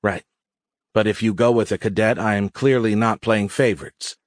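A man voicing a calm, wry female colonel in an audiobook, with a dry, measured, authoritative delivery. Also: fairly low pitch, no echo, lightly acted.

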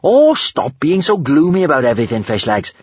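A man voicing a bold, optimistic young girl in a storybook, brisk and breezy. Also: fairly high pitch, slightly rough, no room sound, highs cut off, voice pushed up. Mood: breezy optimism.

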